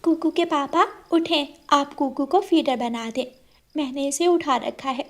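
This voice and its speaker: A woman voicing a caring, gentle young mother sparrow, a solicitous wife in a cartoon story. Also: high voice, measured pace. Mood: requesting.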